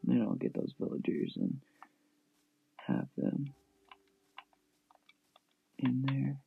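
A man's voice: medium pitch, huge pitch swings, slow, vocal fry, breathy edge. A man giving casual commentary on his own gameplay, thinking aloud in short fragments. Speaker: gamer narrating own gameplay. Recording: thin phone-like mic, noisy, clicking.

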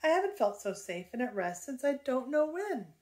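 Storybook pioneer mom, soft and relieved, read by a woman in her own voice. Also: high-pitched, sing-song, normal speed. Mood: content. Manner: soft and content. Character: calm, cheerful pioneer mother.

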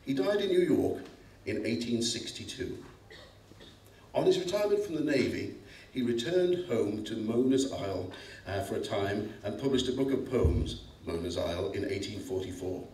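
A man's voice: medium pitch, expressive, normal speed, mellow. Middle aged guy reading out a prepared intro, roomy sound, bit far from mic. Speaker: presenter. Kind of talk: scripted biographical introduction read aloud. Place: school hall stage before an audience. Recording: echoey hall, distant microphone.

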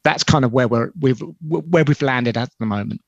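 A middle-aged British man explaining things in an interview over a video call, measured and full of ums and restarts. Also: medium pitch, creaky, dry recording. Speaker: telecom company spokesperson. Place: small indoor room on a video call. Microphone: headset. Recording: dull lo-fi video call audio.

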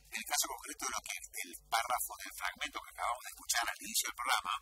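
A man's voice: racing, bright, forceful tone. A man, middle-aged, with a Rioplatense Argentine accent, hosting a live radio interview in a studio. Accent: Rioplatense Spanish from Argentina. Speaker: radio host. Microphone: studio microphone.

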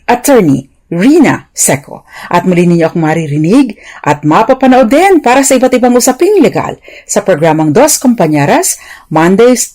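A woman's voice: fairly high pitch, a little mellow. A woman with a Filipino accent giving a prepared radio legal explainer in a formal, polite, didactic manner. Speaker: lawyer and radio legal commentator.

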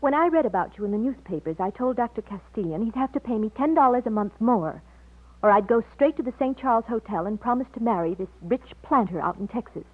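A fairly high, lilting young woman's voice, sweet and earnest, as a polite shop assistant. The sound is a thin, lo-fi vintage radio romance recording. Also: lively intonation, quick pace. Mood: brisk, polite. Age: young adult. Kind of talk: old-time radio romance acting.

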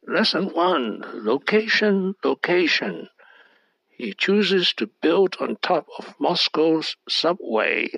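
A man hosting his own channel, reading a scripted lesson outline in short, measured fragments. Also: a little high, gravelly, a bit bright, no echo, lo-fi sound. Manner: reads short fragments in a measured, deliberate cadence. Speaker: channel host. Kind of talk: slow scripted narration over slides.

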